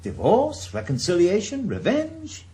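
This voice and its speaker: A man's voice: sits high, wildly swooping pitch, a little slow, warm tone, full character voice. An actor playing a world-weary, middle-aged former policeman turned private investigator, with a dry, sardonic Welsh voice in a radio drama. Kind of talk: 1980s radio drama acting with first-person narration.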